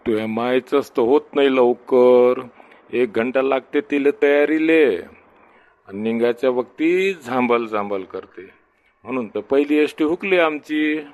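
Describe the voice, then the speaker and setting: A man's voice: mid-range voice, a little slow, a little mellow, lightly acted. A man voicing a fussy, complaining village father-in-law in a comedy cartoon, with a Varhadi Marathi accent. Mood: grumbling. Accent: Varhadi Marathi from Vidarbha. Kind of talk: comedy cartoon dialogue in rural dialect. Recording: dull, muffled sound.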